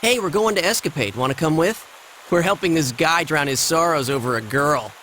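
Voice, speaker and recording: A cocky, gossipy teenage pal in an anime game. His voice is high and bounces around a lot, slangy and teasing, over a faint wash of rain. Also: lively intonation, fairly quick, fairly bright tone, a little hiss or hum. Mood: breezy, teasing.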